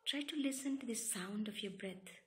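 A woman leads a guided relaxation meditation, giving calm, soothing instructions in short, separated phrases. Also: medium pitch, normal speed. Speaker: yoga nidra meditation guide.

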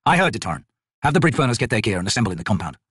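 A man's voice: lowish voice, sing-song, racing, fairly bright tone, slightly rough, no echo, clean studio sound, full character voice. A man voicing a young noble-born army captain in a fantasy audiobook, formal, guarded and restrained. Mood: clipped.

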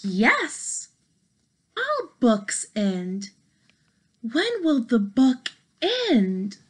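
A young woman reading a children's picture book aloud in an expressive, dramatic and playful manner. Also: on the high side, drawn-out words, slight background noise. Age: young adult. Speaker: storybook reader. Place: small bedroom, indoors.

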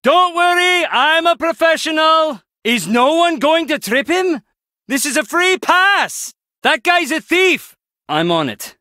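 A man's voice: on the high side, very animated, moderate pace, a bit bright. A man voicing a cocky, eager young man in an adventure video game. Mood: urgent, excited.

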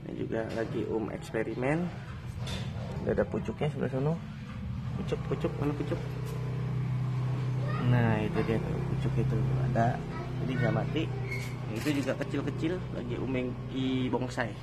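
A man's voice: medium pitch, normal speed, long pauses. A middle-aged man from Indonesia, giving a casual tour of his potted fruit trees like a folksy salesman, filmed on a handheld phone in a small outdoor garden. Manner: folksy salesman, refers to himself in third person. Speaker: durian grower showing his trees. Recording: noisy outdoor phone recording.

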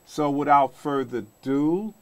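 A man's voice: fairly high pitch, measured pace, darkish tone. Middle-aged man hosting, rambling delivery. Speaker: talk show host. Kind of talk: informal interview. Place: small indoor TV studio.

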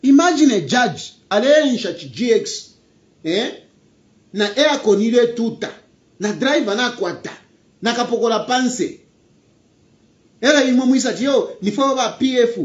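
A man's voice: high voice, muffled recording. A young Zambian man with a Zambian accent giving an emphatic political commentary indoors, repeating his key phrases and slipping into a local language. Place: indoor room.

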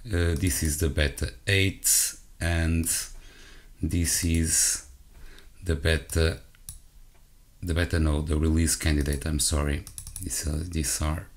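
Man, Portuguese accent, English as a second language, tech walkthrough, hesitant, lots of fillers, talks to viewers, deep voice. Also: level tone, takes it slowly, pauses often. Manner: hesitant, frequent fillers and self-corrections; addresses viewers directly. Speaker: tech channel host. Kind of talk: screen-recorded software walkthrough.

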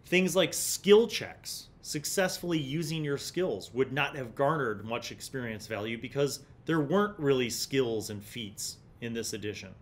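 A man's voice: mid-range voice, expressive, measured pace, few pauses. A middle-aged man with an American accent, talking casually and explaining things with emphatic stresses, as a host reviewing on a studio microphone. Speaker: YouTube host reviewing old gaming magazines. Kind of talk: casual review and reminiscence, partly reading aloud. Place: small indoor studio room with shelves. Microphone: large desktop studio microphone in front of him.

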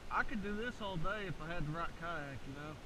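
A man chatting casually in a folksy way while kayaking outdoors, recorded on an action camera. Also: fairly high pitch. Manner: folksy, informal. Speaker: kayak angler vlogger. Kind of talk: casual chat on the water. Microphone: action camera worn on body. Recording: hissy action camera with water noise. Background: rushing water and hiss.

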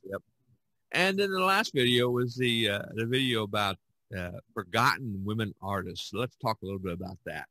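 An older man in his sixties chats as a podcast host in a fairly low voice. He speaks haltingly, circling back over phrases, in a dry, close recording. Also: lively intonation, bright, forceful tone, no room sound, poor audio. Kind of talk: casual conversation.

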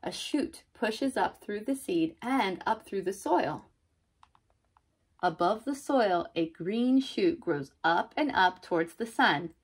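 Young female storyteller, husky tone, reads picture books expressively to little listeners. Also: mid-range voice, slow. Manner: expressive, child-directed, asking listeners questions. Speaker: mother reading a children's book aloud. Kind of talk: read-aloud picture book storytelling.

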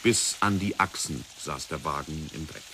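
A man narrating a 1960s radio drama in a measured, dramatic storytelling manner. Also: very deep voice, sharp tone, a little hiss or hum.

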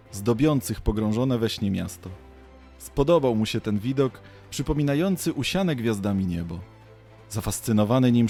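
A man's voice: medium pitch, darkish tone. A man narrating an audio drama as a storyteller, reading scripted prose. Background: faint music.

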